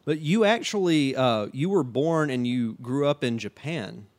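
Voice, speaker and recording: A man hosting a casual podcast interview, relaxed and chatty, often restarting his sentences. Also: medium pitch, a little slow. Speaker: podcast host.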